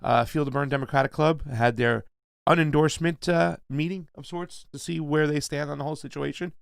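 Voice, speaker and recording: A man hosting a live talk stream, loud and emphatic, with lots of fillers and restarts. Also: on the high side, fairly even intonation, brisk, gravelly, clean tone, no echo, studio quality. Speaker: show host. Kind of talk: casual live-stream chat.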